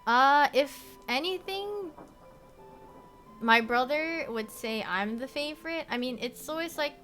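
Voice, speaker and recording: A young woman born in Korea, chatting casually to stream viewers from her bedroom, rambling with lots of "like" and "you know" and trailing off softly. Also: sits high, lively intonation, unhurried, soft background music. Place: bedroom at home.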